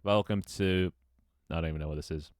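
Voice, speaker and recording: Young guy streaming, real low voice, rambly and chatty, dry close mic. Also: fairly even intonation, a little fast, no echo. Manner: rambling, self-talk with frequent restarts and laughs. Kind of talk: casual live-stream chat while gaming.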